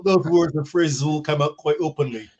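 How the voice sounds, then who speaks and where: A man from Barbados, living in England, chatting as a guest on a video call and often pausing with ums. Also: fairly high pitch, darkish tone. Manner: hesitant, many ums. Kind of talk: casual video call chat. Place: home in England. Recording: dull lo-fi video call audio.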